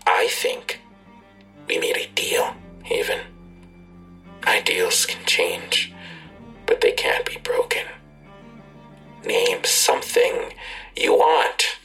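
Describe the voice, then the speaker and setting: An actor playing a menacing, coldly insistent blackmailer in an audio drama, taunting and deliberate, with a gravelly, husky voice that slips into whispers. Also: male voice, deep voice, expressive, sharp tone, faint music behind, exaggerated voice. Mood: quietly threatening. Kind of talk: full-cast audio drama acting.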